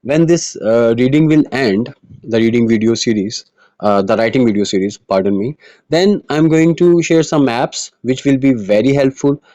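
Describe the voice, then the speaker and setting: A man's voice: mid-range voice, normal speed, mellow, dry recording, muffled recording. A man who speaks Urdu as his first language, teaching IELTS writing in an earnest, explanatory way, with frequent fillers and restarts. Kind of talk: online lesson monologue.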